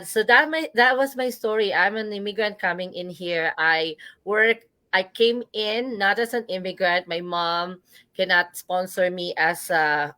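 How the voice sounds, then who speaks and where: Bright-voiced Filipino woman, earnest and chatty. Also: fairly low pitch, dry recording. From Philippines. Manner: earnest, chatty, often ends with "right?". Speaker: guest, business coach. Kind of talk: live interview, personal story.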